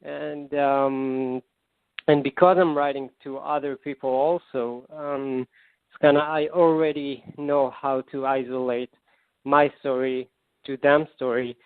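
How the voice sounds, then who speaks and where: A man from Israel with an Israeli accent, a singer-songwriter answering interview questions in halting English with many fillers and restarts; Hebrew is his first language. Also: mid-range voice, flat delivery, clear, resonant tone, dry recording. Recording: tinny phone line, dry.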